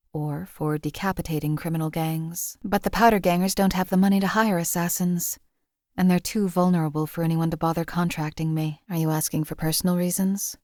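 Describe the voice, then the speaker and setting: A woman playing a deadpan cold assassin. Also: on the low side, no room sound, clean studio sound, lightly acted. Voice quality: calm, clipped, deadpan. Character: cold detached female assassin companion. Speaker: game companion. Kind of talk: game voice lines.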